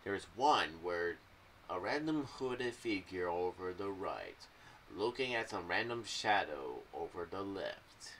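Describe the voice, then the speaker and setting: A young man giving unscripted, rambling commentary in a halting, meandering way, speaking into a boom-arm microphone in a small room at home. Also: lively intonation, fairly bright tone. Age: young adult. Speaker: video creator sharing fan theories. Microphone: boom-arm microphone with pop filter, headphones. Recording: clearly noisy home recording.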